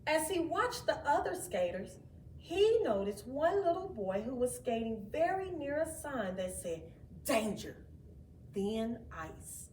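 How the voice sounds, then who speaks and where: A woman reading a children's story aloud in an expressive, dramatic way, with asides to her young listeners. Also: high voice, measured pace. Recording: roomy, distant mic, faint background noise.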